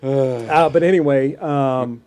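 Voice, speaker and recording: A man's voice: medium pitch, takes it slowly, a little mellow. A man co-hosting a casual podcast, playful and hesitant, with many fillers. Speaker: podcast co-host. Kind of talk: casual chat.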